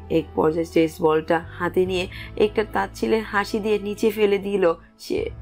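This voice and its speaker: A woman reading scripted narration for a romantic story as its narrator. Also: medium pitch. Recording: music under the voice.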